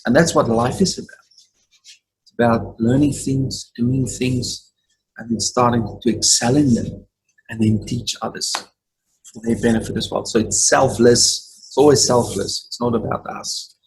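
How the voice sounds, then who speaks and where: A man's voice: medium pitch, steady tone, a little slow, gravelly, a little mellow, a little hiss or hum, poor audio. A man teaching an online Bible study group over a video call, earnest and given to repeating himself. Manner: earnest, repetitive affirmations.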